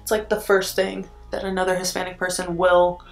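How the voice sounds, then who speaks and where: A woman's voice: lowish voice, flat delivery, a little fast. Woman, twenties, beauty vlogger, casual makeup chatter, swears a lot, echoey room, faint music. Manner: chatty, profane, says "like" constantly. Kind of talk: casual chat while doing makeup. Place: at home indoors. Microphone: camera mic.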